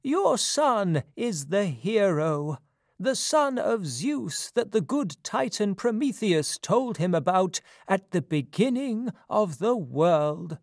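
A narrator voicing a very old, gentle male seer who speaks solemnly and with measured care in a storybook myth. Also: medium pitch, big pitch swings, no room sound, studio quality, voice pushed up. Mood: solemn, reassuring. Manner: solemn, measured prophetic delivery.

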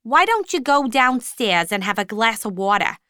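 A woman voicing a brisk, concerned female shop supervisor in a story, firm but caring. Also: on the high side, sing-song, sharp tone, clear, resonant tone, no echo, studio quality.

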